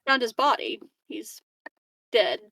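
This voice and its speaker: Slow, creaky-voiced woman, casually gaming. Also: a little high, sing-song, sharp tone, dry recording, poor audio. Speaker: tabletop game player. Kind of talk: casual chat, improvised play.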